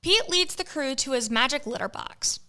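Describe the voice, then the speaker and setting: A woman narrating an amateur audio adventure in a playful, measured way. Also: high voice, expressive, bright, forceful tone. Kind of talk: scripted storybook narration.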